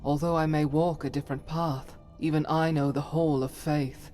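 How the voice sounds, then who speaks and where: Woman as a low-voiced, solemn devout fantasy adventurer. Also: fairly even intonation, warm tone, faint music behind, lightly acted. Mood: reverent, earnest. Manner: formal, archaic phrasing, measured and earnest. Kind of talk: scripted fantasy game dialogue.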